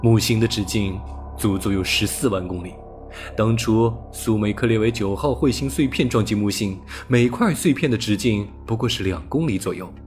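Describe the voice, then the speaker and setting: A man reading a science fiction audiobook as narrator, in a scripted, dramatic storytelling style. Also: on the low side. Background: music.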